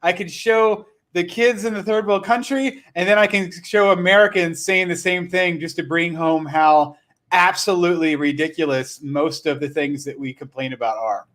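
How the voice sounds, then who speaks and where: A man with a voice pitched a little above the usual male range. He talks as a podcast host in loose, conversational speech. His manner is self-deprecating and joking. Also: moderate pace, a bit bright. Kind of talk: casual chat.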